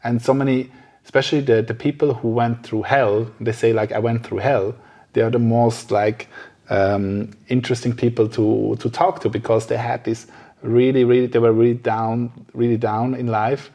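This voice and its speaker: A man's voice: medium pitch, level tone, moderate pace, mellow. A man hosting a casual podcast conversation, speaking hesitantly as he searches for his words. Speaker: podcast host.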